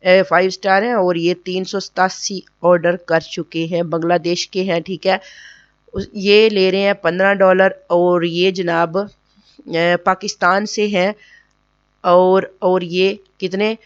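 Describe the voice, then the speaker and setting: Hoarse woman explaining patiently. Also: lowish voice, no room sound, lo-fi sound. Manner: keeps checking in with "okay?" and repeats points patiently. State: sore, hoarse throat. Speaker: online earning tutorial host. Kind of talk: casual screen tutorial.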